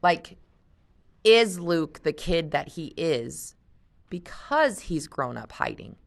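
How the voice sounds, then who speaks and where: Relaxed woman teacher explaining a story. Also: low voice, slow, creaky. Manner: casual, explaining, thinking aloud. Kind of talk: read-aloud and class discussion.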